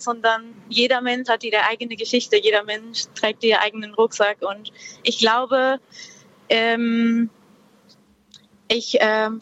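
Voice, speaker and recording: Young woman, interviewee on a video call, hesitant and thoughtful, somewhat high voice. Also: fairly bright tone. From Peru. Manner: reflective, slow, hesitant with many fillers. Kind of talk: casual conversation. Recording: dull lo-fi video call audio.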